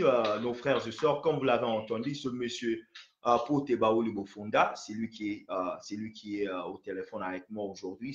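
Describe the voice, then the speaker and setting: Male host, halting delivery with frequent fillers, roomy lo-fi sound. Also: medium pitch, fairly bright tone. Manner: hesitant, many fillers and restarts. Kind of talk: phone interview show.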